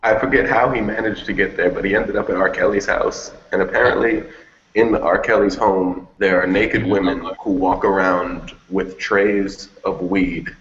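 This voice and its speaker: A man's voice: low voice, fairly even intonation, moderate pace, fairly bright tone. A man hosting a casual music panel discussion, talking loosely and informally. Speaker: host of a music talk show. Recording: muffled, echoey room with noise.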